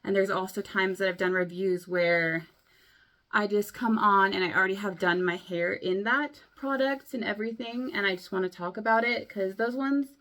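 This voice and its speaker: A young woman vlogging casually to camera, chatty and rambling, her voice a bit hoarse from a cold. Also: mid-range voice, normal speed, fairly bright tone. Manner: chatty, rambling, many likes and ums. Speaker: beauty vlogger.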